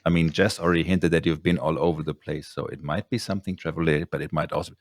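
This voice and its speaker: A man's voice: a bass voice, steady tone, fast, no echo, clean studio sound. A man hosting a podcast interview, chatting casually and rambling through his points. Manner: rambling, with many ums and restarts. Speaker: podcast host.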